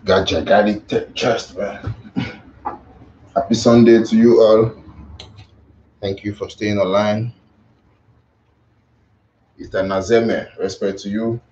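A man's voice: fairly low pitch, slow pace, pauses often, a little hiss or hum. Young guy talking to his viewers in his kitchen, phone mic far off, kinda muffled. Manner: relaxed, loose, chatting while posing. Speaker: bodybuilding vlogger. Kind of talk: casual chat to live viewers. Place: small home kitchen, indoors. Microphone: phone camera across the room. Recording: roomy, distant, dull phone audio.

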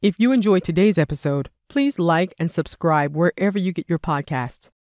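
A woman reading a scripted podcast intro in a smooth, even voice. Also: fairly low pitch, moderate pace, dry recording. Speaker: podcast announcer. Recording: dry studio voice-over with soft music.

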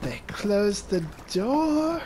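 A man's voice: high voice, lively intonation, drawn-out words, noisy background. A man giving improvised, joking, mock-heroic commentary in character as he plays a video game. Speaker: gamer voicing the protagonist as a joke. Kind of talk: improvised comic gameplay commentary. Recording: loud gunfire and game sound behind. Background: game gunfire and ambience.

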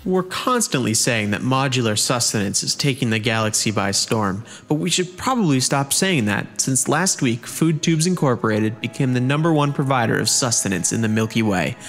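A man's voice: mid-range voice, lively intonation, moderate pace, few pauses, a bit bright, faint music behind. A man playing a slick future talk host. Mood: upbeat. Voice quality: smooth, slick announcer delivery. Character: slick smooth-talking male future podcast host. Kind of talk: comedic radio-show parody.

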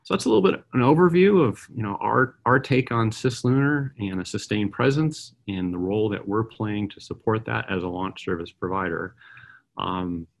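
Gravelly low-voiced man giving a keynote. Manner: measured, with frequent uh and um fillers. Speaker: aerospace executive giving a keynote. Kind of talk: conference keynote presentation.

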